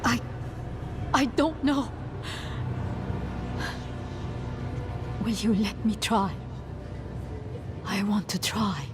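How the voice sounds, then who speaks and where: A woman playing a devout, obsessed scholar mother in a fantasy game cutscene, halting and fervent in her delivery. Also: mid-range voice, lightly acted. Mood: hesitant, yearning. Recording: cinematic music behind.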